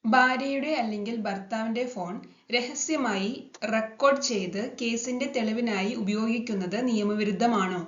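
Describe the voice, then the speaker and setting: A Malayali woman presenting a scripted legal explainer in a formal manner, mixing in English legal terms. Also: a little high. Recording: dull lo-fi sound, echoey room.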